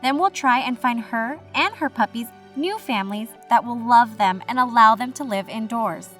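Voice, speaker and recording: Kind female animal officer for a storybook , acted by a woman: fairly high, reassuring, light music underneath. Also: moderate pace, fairly bright tone, natural voice. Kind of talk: children's comic read-aloud.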